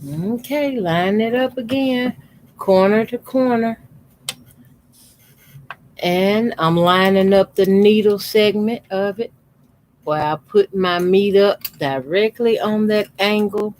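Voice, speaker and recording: A man in his fifties, a quilting channel host, calmly talking through a how-to demonstration at his sewing machine with his voice deliberately kept low. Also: slight background noise. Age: 50s. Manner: talks unhurriedly while sewing, deliberately keeping his voice lowered.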